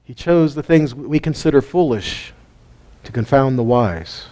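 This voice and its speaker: A man's voice: medium pitch, lively intonation, measured pace, darkish tone. A middle-aged man teaches scripture in a folksy way, asking his listeners questions and chuckling often. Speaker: Bible teacher. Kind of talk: casual Bible teaching.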